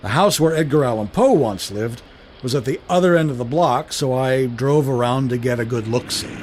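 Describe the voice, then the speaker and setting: Guy doing wry 1930s everyman narrating a radio play, medium pitch, some noise behind him. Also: moderate pace, a little mellow, natural voice. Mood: wry, reminiscing. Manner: wry, colloquial, musing. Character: wry, observant 1930s everyman recounting his investigation. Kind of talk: first-person radio drama narration.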